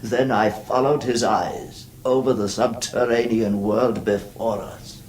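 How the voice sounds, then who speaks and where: An actor playing a haunted, fearful man who narrates and speaks in a horror radio play with dramatic, halting, repeated phrases. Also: on the low side, fairly even intonation, a little mellow. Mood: horrified awe. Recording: noisy recording with background ambience.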